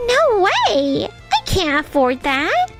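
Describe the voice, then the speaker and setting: A woman playing a snippy, squeaky spoiled young woman. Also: huge pitch swings, extremely slow, fairly bright tone, soft background music, full character voice. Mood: distressed. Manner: snippy and dramatic.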